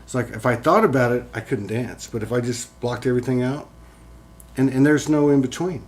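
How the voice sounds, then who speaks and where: A man's voice: mid-range voice, brisk, slight background noise. A man hosting a casual spiritual chat, talking in a rambling, earnest way with many "like"s and some casual swearing. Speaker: host. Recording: guitar playing behind.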